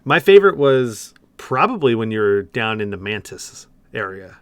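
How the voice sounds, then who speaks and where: A man's voice: on the low side, big pitch swings, moderate pace, dry recording. Casual male podcast host, closely recorded. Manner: informal, conversational. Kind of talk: casual video game discussion.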